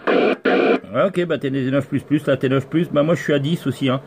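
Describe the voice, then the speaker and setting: A man's voice: medium pitch, normal speed, creaky, heavy background noise. A man, a radio operator, chatting casually on a two-way radio contact from indoors at home.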